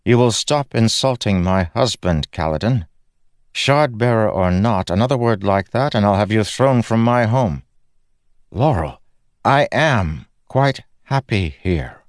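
A man voicing a stern, no-nonsense townlord's wife who talks in a brisk, scolding manner. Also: lowish voice, lively intonation, no echo, studio quality, pitched above the performer's own voice. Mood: curt.

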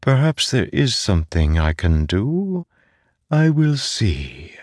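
Man as mysterious bodiless spirit, formal, unhurried, higher register, dry sound. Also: sing-song, darkish tone, dry recording, exaggerated voice. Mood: calm, formal. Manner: slow, formal.

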